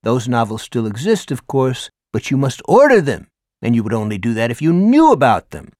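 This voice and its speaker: A man voicing a theatrical, flamboyant high school English teacher who declaims and lectures with grand emphasis. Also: huge pitch swings, normal speed, darkish tone, dry recording, studio quality. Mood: declaiming. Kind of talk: audiobook character voice.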